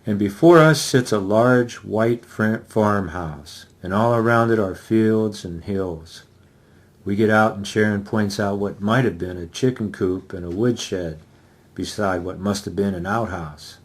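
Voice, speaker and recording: A man's voice: lowish voice, measured pace. A man, the author, giving a dramatic reading of his first-person novel.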